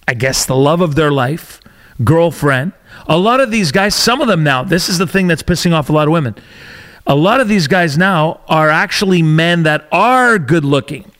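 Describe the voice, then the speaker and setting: Guy hosting his podcast solo, voice keeps cracking, kinda high, just chatting away. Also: fairly bright tone. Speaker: podcast host. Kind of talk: casual solo podcast talk.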